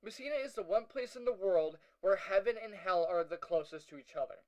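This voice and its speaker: A young male hero , acted by a man, records his audio log in a high-pitched voice. He talks conversationally and a little hesitantly, as if thinking it through. His storytelling is uneasy, with dry, wry asides in the manner of an audio drama. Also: brisk, lightly acted. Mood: explanatory, serious. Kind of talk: first-person audio log narration, conversational.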